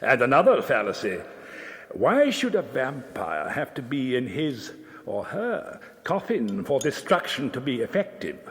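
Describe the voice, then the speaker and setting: A man's voice: mid-range voice, huge pitch swings, measured pace. Old Scots steward in his sixties, radio drama: lecturing, formal, swooping delivery, echoing room. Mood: pedantic, disdainful. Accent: Scottish. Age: 60s. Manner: lecturing, formal, scornful of superstition. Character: pedantic, frugal old Scottish steward and librarian in his sixties.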